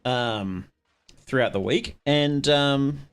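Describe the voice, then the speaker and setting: A man hosting a livestream, chatting casually with viewers in a rambling, halting way and often interrupting himself. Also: medium pitch, clear, resonant tone, soft background music. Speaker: livestream host.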